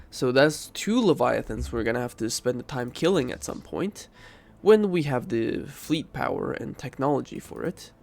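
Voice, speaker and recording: A man's voice: mid-range voice, creaky. A man with a stuffy nose from a cold, giving casual commentary while playing a strategy game and thinking aloud as he goes. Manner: thinking aloud, rambling, self-correcting. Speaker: game streamer.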